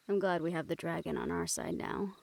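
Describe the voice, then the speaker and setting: A woman's voice: fairly low pitch, creaky, exaggerated voice. A woman playing a young monster hunter in an intimate audio roleplay, casual and sweary, wry, teasing and tender with a partner. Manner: casual, wry, sweary, breaks into soft chuckles. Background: music under the voice.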